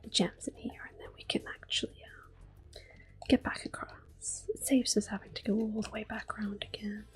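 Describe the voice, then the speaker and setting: A woman giving hushed, gentle, soft-spoken ASMR commentary as she plays a video game. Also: mid-range voice, fairly even intonation, normal speed. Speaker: ASMR gaming host. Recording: soft music in the background. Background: quiet game music.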